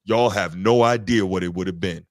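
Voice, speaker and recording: Low-voiced man in a dry room. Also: no room sound. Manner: measured, talks in long points. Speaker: podcast co-host. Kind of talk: casual sports chat.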